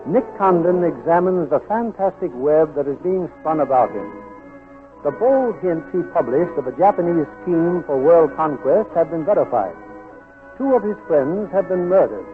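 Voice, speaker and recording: An American man hosting a 1940s radio show, announcing from a script in a polished, theatrical way. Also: fairly high pitch. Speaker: host and announcer. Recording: muffled 1940s radio broadcast with music.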